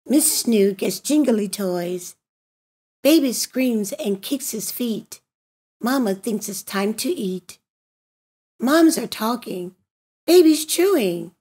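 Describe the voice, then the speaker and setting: A woman reading a children's picture book aloud in an expressive, deliberate, sing-song way for young listeners. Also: fairly high pitch, breathy edge. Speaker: storybook reader and host of a read-aloud channel.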